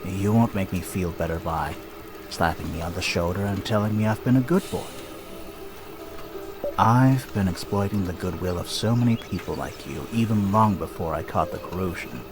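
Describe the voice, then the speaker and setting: A man's voice: sits low, normal speed, music in the background, natural voice. An actor playing a self-loathing, sardonic, wisecracking man in a video game cutscene. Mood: bitter, ashamed.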